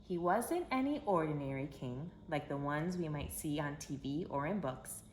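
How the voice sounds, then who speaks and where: A woman with a fairly low voice reads a story to young children. Her delivery is expressive and emphatic, with simple phrasing. The recording is echoey, with a faint to clear layer of noise behind her. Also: normal speed. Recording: roomy echo with background hiss.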